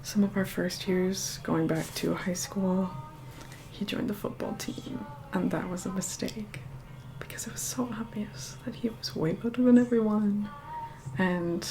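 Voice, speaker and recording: Girl playing a snooty vampire woman doing asmr, slow breathy whisper w/ vocal fry, dry and reluctant, music behind her. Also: natural voice, rough recording. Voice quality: soft, breathy whispering mixed with low murmur. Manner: unhurried, dry, mildly condescending. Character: aloof young vampire woman, vain, reluctant beauty helper. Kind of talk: ASMR roleplay, soft whispered personal attention.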